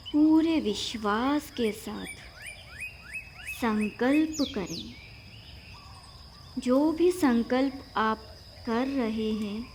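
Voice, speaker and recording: Female meditation guide, higher-pitched, very unhurried delivery, short instructional phrases separated by long pauses. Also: darkish tone, a little hiss or hum. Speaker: yoga nidra instructor.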